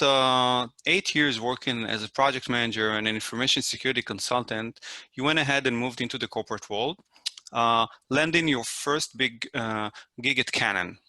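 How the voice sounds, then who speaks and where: A man in his forties hosting a relaxed podcast chat, friendly and halting, with a fair amount of "uh" between his thoughts. His voice is bright and forceful. It is recorded close and dry, with no room sound. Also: mid-range voice, no echo. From Israel. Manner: friendly, hesitant with many fillers. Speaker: podcast host. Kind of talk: casual interview.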